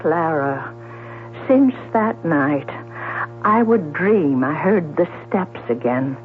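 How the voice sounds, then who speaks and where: An actress playing a troubled, persistent sister in a 1970s radio drama, deliberate and dramatic. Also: female voice, sits low, lively intonation, slight background noise. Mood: grave, accusing. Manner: deliberate, dramatic, repeats questions. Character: troubled, persistent woman confronting her sister about murder. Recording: tinny, humming 1970s radio recording.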